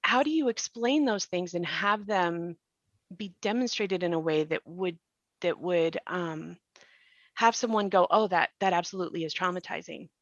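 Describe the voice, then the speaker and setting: Woman, lower register, earnest and emphatic panel discussion, rhetorical questions, dull lo-fi audio. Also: moderate pace, dry recording. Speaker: podcast creator and actor discussing the show.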